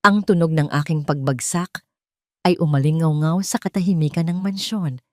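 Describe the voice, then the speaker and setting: A woman's voice: deep voice, moderate pace, no room sound, clean studio sound. A woman reading a scripted first-person story in a solemn, melodramatic way. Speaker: story narrator.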